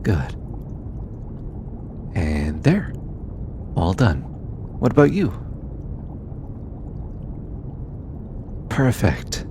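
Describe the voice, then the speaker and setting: Casting: male actor as kind, playful alien researcher for intimate audio roleplay; low-pitched, unhurried, eager, teasing; rumbly noise behind. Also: wildly swooping pitch, heavily acted. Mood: reassuring, guiding.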